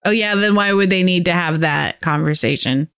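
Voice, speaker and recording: A woman's voice: lowish voice, normal speed, fairly bright tone, smooth, no room sound, clean studio sound. A woman co-hosting a podcast, chatting casually as she brainstorms with others. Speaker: podcast co-host.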